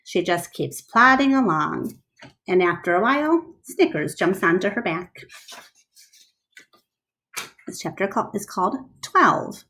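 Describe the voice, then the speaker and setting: Female read-aloud teacher: expressive storytelling, explanatory asides, leisurely pace, highly varied intonation. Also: unhurried, pauses often, rough recording.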